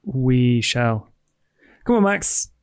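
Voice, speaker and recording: A man voicing an awkward young vampire in a tabletop game, halting and unsure. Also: mid-range voice, expressive, clean tone. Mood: hesitant. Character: hesitant, awkward young male vampire sidekick. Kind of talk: tabletop roleplay in character.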